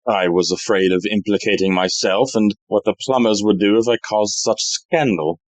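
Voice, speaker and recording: A woman's voice: a bass voice, normal speed, no room sound. A woman playing an earnest, polite young man in an audio play. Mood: confessional.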